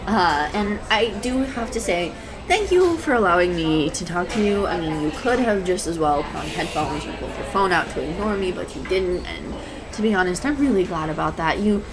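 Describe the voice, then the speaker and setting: A woman's voice: on the low side, lively intonation, moderate pace, crowd noise, lightly acted, poor audio. A woman playing a shy, anxious, adorkable young woman in an intimate roleplay audio, rambling hesitantly and stammering. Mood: shy, earnest. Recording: clear background coffee-shop ambience noise. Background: coffee shop ambience sound effects.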